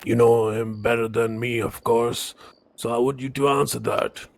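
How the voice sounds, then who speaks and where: A man's voice: medium pitch, fairly even intonation, normal speed, creaky, close to an everyday voice. A man playing a wary, distrustful Old West character in improvised roleplay, direct and challenging.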